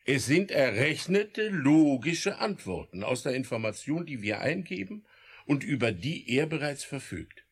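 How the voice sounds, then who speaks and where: A senior government official in a radio play. His voice sits at a medium pitch. He is courteous and formal, with a skeptical, patronizing edge. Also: big pitch swings, unhurried, husky, exaggerated voice. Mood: patient skepticism. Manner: formal, polite, dismissive. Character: skeptical, courteous male government under-secretary, rational and patronizing. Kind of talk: German radio play acting.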